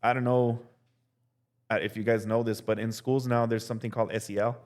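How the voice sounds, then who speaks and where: A young male teacher telling casual stories as a podcast guest, relaxed and full of "like" and "dude". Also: medium pitch, fairly quick. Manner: relaxed, heavy use of "like" and "dude". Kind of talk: casual storytelling chat.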